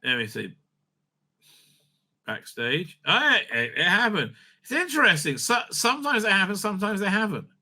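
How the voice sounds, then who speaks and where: A man giving unscripted, profane, opinionated commentary as a video host, stammering and repeating himself in an excitable way. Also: high voice, normal speed.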